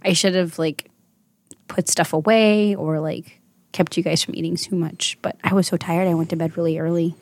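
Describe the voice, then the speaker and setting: A woman's voice: fairly low pitch, fairly even intonation, normal speed, darkish tone, no echo. A woman in her thirties hosting a casual chat with her daughter, affectionate and motherly, laughing often. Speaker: podcast host and mother.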